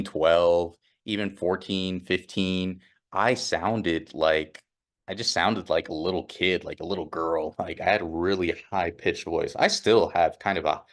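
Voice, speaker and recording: A man's voice: deep voice, a bit bright. A young man telling his own story casually, in an offhand, rambling way, with many fillers and restarts and a slightly nerdy-sounding voice. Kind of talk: casual first-person storytelling.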